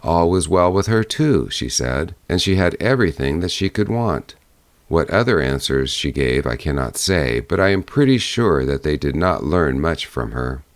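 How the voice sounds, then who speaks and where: A man narrating a fairy-tale audiobook in a measured storytelling manner. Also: a bass voice, very animated, no room sound.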